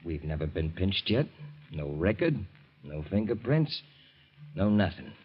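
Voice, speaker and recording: A man's voice: deep voice, big pitch swings, measured pace, highs cut off. A man playing a menacing, slangy gangster boss in an old-time radio crime drama, coolly confident and taunting. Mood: cool, commanding. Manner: slangy, tough-guy talk, taunting.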